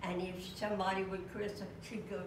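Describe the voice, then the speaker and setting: A woman's voice: mid-range voice, little pitch movement. An older woman giving a memorial tribute to a classmate from a church pulpit, storytelling with chuckles. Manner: slow, warm storytelling with chuckles. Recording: echoey church, distant mic, room noise.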